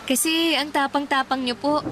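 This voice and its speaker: An actress playing a devoted young daughter, earnest and caring in a radio drama. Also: female voice, sits high, steady tone, normal speed, fairly bright tone, faint music behind. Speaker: voice actor.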